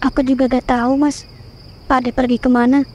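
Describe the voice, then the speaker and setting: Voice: high voice, little pitch movement, brisk, lightly acted. Ratih, voiced as an anxious young woman. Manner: worried, earnest pleading.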